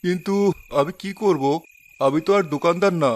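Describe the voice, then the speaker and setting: Man doing a kind village leader, calm and measured, slightly high voice, cartoon. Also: close to an everyday voice. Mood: resolved. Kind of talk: cartoon voice acting.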